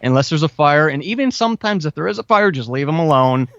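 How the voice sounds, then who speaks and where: A man's voice: a little high, lively intonation, quick pace, dry recording. A man in his forties talking casually and earnestly as an interview guest, with an enthusiastic manner. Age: 40s. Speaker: guest, fiction and audio drama writer. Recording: dull, lo-fi band-limited audio.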